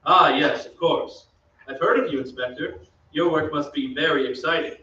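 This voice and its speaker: A male actor plays a courteous, self-assured opera singer telling a tale in old-time radio style. His voice sits a little high. It reaches the mic from a distance, muffled, over faint noise. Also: normal speed, bright, forceful tone, roomy sound. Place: theatre stage.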